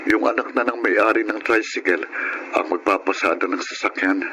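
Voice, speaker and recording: An actor playing a humble, devoted eldest brother who drives a tricycle, in a Filipino radio drama. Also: male voice, on the low side, normal speed, pushed and bright, a little hiss or hum, rough recording. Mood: humble, grateful.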